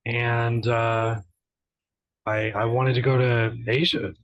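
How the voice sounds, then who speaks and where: A young man from the United States speaks as a podcast guest, relaxed and a little hesitant, filling his pauses with ums and uhs. His voice sounds close and dry, with no room around it. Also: medium pitch, no room sound, dull audio. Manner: hesitant, laid-back, many fillers. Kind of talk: casual interview chat.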